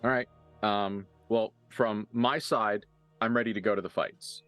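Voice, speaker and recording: Male tabletop roleplayer, lower register, informal joking conversational read with soft music underneath. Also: fairly even intonation, unhurried, no room sound. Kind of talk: casual, joking out-of-character chat.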